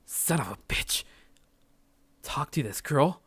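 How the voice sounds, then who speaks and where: A man's voice: a little high, expressive, slow pace, a bit bright, slightly rough, dry recording, heavily acted. A man playing a paranoid, sardonic young man narrating his journal in a visual novel, curt and brooding. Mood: brooding, bitter.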